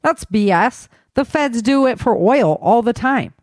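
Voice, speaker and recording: A blunt, commanding army major read by a woman in an audio story. He is curt and quick to protest, and the recording is dry and close. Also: on the high side, expressive, darkish tone, dry recording, voice pushed up. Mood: defiant, indignant.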